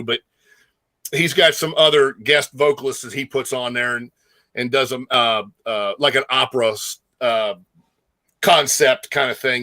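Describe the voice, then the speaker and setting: A man chatting casually about music as a guest co-host, enthusiastic and slangy, often halting as he gathers his thoughts. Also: medium pitch, bright, forceful tone, no echo. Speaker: guest co-host, metal fan.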